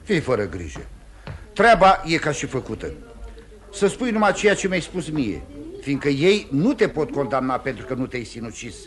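An actor playing a confident defence lawyer in a radio play, persuasive, insistent and reassuring. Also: male voice, mid-range voice, very animated, close to an everyday voice. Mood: coaxing, brisk. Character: confident, coaxing male defence lawyer advising his client. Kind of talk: mid-century radio theatre acting.

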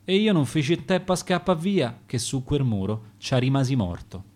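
A man with a Roman accent reads his own sonnets aloud for a radio broadcast. His pitch sits in an ordinary middle range, and he keeps a measured, rhythmic beat through each rhymed line. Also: big pitch swings, darkish tone. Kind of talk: radio poetry recitation. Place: radio studio.

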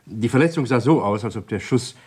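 An actor playing an uneasy, guarded doctor in a German radio crime drama, speaking haltingly and evasively. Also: male voice, mid-range voice, lively intonation, a little mellow, breathy edge. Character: uneasy male doctor, guarded jealous husband.

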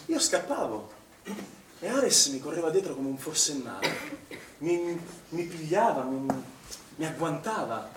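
Defensive male swimming instructor, agitated, colloquial stage drama delivery, slightly high-pitched, echoey recording with faint noise. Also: sing-song, unhurried, recorded from a distance. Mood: reminiscing. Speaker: actor. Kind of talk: naturalistic stage drama dialogue.

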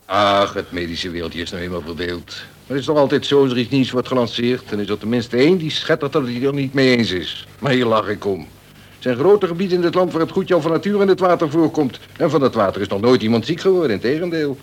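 An actor playing a breezy prison doctor in a radio play, wry, offhand and scoffing. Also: male voice, medium pitch, lively intonation, quick pace, lightly acted. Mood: dismissive.

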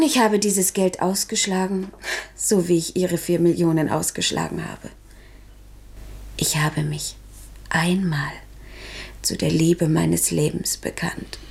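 An actress in a 1970s German radio play playing a sorrowful, dignified courtesan who speaks formally and solemnly, sometimes near a whisper. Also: female voice, on the low side, vocal fry, darkish tone, breathy edge, exaggerated voice. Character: sorrowful, dignified courtesan confessing to her noble lover.

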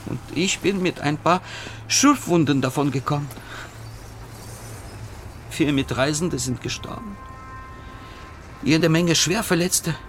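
An actor playing an agitated patient from Croatia in a radio play, insistent and excitable, convinced that Death is hunting him. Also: male voice, a little high, slow, pauses often, a little hiss or hum. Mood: breathless recounting. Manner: insistent, excitable, dropping into whispers.

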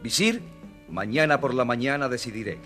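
An actor playing a curious, commanding young caliph with a husky voice in a children's story record. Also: male voice, mid-range voice, animated, moderate pace, a bit bright, soft background music, close to an everyday voice. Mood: curious, regal.

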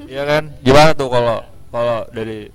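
A man's voice: medium pitch, moderate pace, clean tone, no echo. A man hosting a casual radio interview, speaking colloquial Indonesian with a Javanese accent from East Java and coaxing his guests to talk. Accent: Javanese-influenced Indonesian, East Java. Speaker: radio show host.

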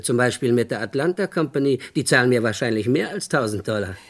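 Blackmailer, medium-pitched, calculating, insistent, coolly menacing, radio crime drama. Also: male voice, animated, quick pace. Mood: sly, calculating. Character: calculating, menacing male blackmailer selling stolen art. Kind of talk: German radio crime play dialogue.

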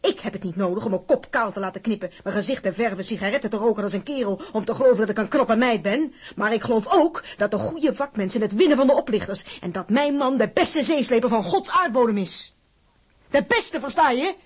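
An actress playing a feisty, proud working-class wife in a radio drama, fiercely loyal, blunt and sardonic. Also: female voice, fairly high pitch, lively intonation, a little fast, breathy edge. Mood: proud, defiant.

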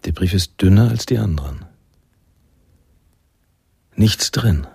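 Deep, creaky noir narrator. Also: male voice, animated, slow pace, a little mellow, no room sound, exaggerated voice. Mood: reflective. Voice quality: deep, gravelly. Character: male first-person narrator of a noir jazz tale, reflective. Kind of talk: scripted radio drama narration.

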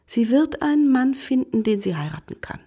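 A devoted wife who has suffered long and still pleads with tenderness. Her voice is fairly high and breathy, often hushed with emotion. The delivery is that of a radio drama. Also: very animated, normal speed, full character voice. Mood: pleading. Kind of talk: German radio drama acting.

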